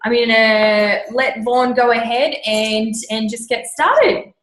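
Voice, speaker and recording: Woman, slow unhurried talk, chatty fillers, roomy echo. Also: on the high side, fairly bright tone. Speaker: stream organizer. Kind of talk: casual organizing chat. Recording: echoey room.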